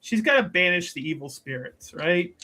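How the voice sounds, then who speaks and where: A man's voice: high voice, lively intonation, normal speed, pushed and bright. A man chatting casually as a player in a tabletop roleplaying game, talkative and jokey, improvising what a character does. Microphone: streaming microphone on a remote call.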